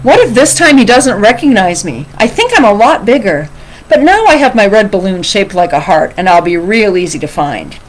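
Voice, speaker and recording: A woman voicing an anxious, excitable little boy in a children's storybook reading. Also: mid-range voice, moderate pace, slight background noise, natural voice, low-quality recording. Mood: anxious, hopeful.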